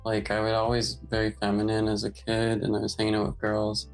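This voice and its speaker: A male-sounding co-host with a gravelly, creaky voice, chatting casually with wry understatement. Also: lowish voice, monotone, brisk, faint music behind, poor audio. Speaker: podcast co-host. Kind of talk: casual chat.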